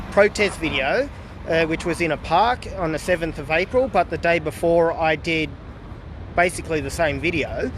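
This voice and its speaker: A man's voice: fairly high pitch, fairly bright tone, slight background noise. Rambling man streaming outdoors. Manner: rambling, many fillers and asides. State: losing his voice. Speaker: political vlogger. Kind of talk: casual livestream talk to viewers. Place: outdoors in a public town area.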